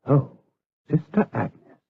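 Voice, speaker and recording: An actor playing a righteous, unbending abbot in a gothic radio drama, speaking in a grave, declamatory manner. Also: male voice, slow pace, natural voice. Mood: outraged, stern. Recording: tinny, narrow-band old radio drama.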